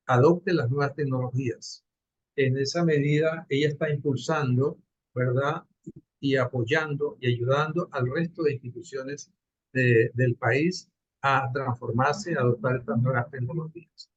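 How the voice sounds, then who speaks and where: A man from Venezuela presenting a project in an online class, earnest and halting, with fillers. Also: mid-range voice, steady tone, breathy edge, no echo. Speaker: course participant presenting a project. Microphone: video call. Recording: dull dry video call audio.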